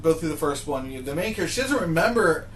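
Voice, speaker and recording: A man's voice: fairly high pitch, animated, quick pace. A man in a casual panel discussion, talking informally and arguing through examples. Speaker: podcast co-host. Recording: faint background noise.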